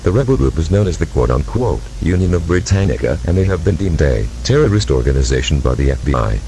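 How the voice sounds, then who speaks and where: Deep, commanding official on a secret briefing tape, voiced by a man; hissy recording with hum. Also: full character voice. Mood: grave. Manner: formal, measured, commanding. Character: grave male official reading a secret military briefing. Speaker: announcer of a classified briefing tape. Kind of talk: scripted amateur audio drama. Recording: noisy tape with steady hum.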